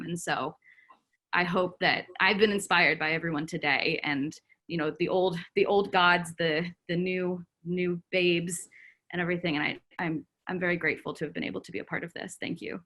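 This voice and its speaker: A woman giving spontaneous, reflective remarks on a video call, haltingly thinking out loud. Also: lowish voice. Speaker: audience discussion participant.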